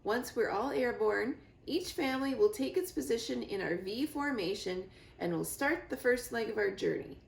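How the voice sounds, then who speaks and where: A woman's voice: a little high, animated, moderate pace, gravelly, few pauses, reverberant, poor audio. A woman voicing a big male goose, a confident and kindly flock leader making formal announcements, in a storybook reading. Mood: formal, commanding.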